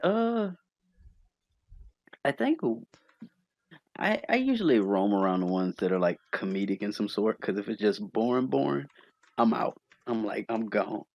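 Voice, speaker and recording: Gravelly, low-voiced man in lively chat. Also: measured pace, pauses often, dry recording, dull audio. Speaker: podcast co-host. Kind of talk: casual podcast chat among friends.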